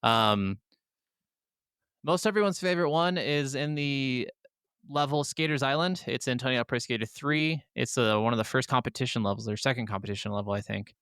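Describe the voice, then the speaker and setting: A man's voice: mid-range voice, fairly quick, fairly bright tone, clean tone, no room sound, studio quality. A man co-hosting a podcast, chatting casually about video games in an enthusiastic, freely swearing way. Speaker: podcast co-host.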